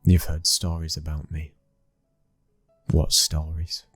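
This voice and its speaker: A young British mafia heir, acted by a man, cold, dominant and protective. His very deep voice is husky and breathy, and barely rises or falls. He speaks slowly in a hushed ASMR roleplay, close and dry over faint music. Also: monotone, dry recording, exaggerated voice. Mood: cold, guarded. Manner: slow, hushed, commanding. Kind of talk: ASMR boyfriend roleplay acting.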